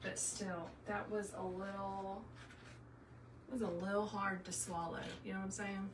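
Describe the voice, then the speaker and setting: A woman's voice: mid-range voice, flat delivery, normal speed. A middle-aged woman with a Southern US accent, hosting a live craft tutorial and chatting casually and folksily with her viewers. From South Carolina, US. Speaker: craft tutorial livestream host. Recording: roomy, distant mic, faint noise.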